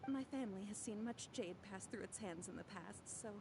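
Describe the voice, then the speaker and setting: A woman's voice: mid-range voice, brisk, gravelly, noisy background, natural voice. A woman voicing an anxious, earnest young merchant woman in a video game, hesitant and apologetic. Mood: recalling. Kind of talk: video game character voice acting. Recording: game music behind.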